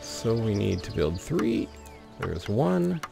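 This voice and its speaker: A man's voice: on the low side, sing-song, takes it slowly, gravelly, music in the background. A man hosting a gaming video, commenting on play and reading the game's story text aloud. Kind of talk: let's play commentary mixed with reading in-game narration aloud.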